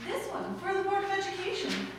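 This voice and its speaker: A woman's voice: extremely high pitch, big pitch swings, a little fast. An actress playing an inquisitive but obedient teenage daughter, eager and girlish, in radio comedy. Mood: curious, obedient. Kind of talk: old-time radio comedy acting. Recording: echoey room from far off, clear noise.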